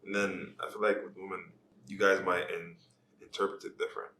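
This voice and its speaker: Young man from Jamaica, podcast guest, deep voice with a bright edge, relaxed rambling delivery. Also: brisk, echoey room, slight background noise. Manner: laid-back, rambling with many "like"s. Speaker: podcast guest, social media influencer. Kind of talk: casual podcast chat.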